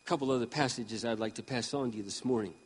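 A man around sixty preaching a sermon with impassioned emphasis, swinging between loud peaks and quiet asides. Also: mid-range voice, fast talker, husky, big-room sound. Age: 60s. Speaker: pastor.

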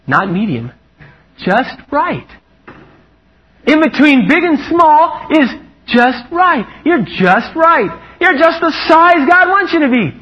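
A man preaching a lively, humorous sermon, animated and playful, acting out quotes in a higher voice. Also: slow. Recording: muffled lo-fi recording.

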